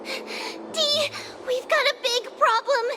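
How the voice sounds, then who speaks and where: A woman playing a tiny, childlike, excitable girl in a video game, squeaky and flustered, repeating herself. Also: slow, music in the background. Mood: panicked. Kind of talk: dramatic video game voice acting. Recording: very loud background noise.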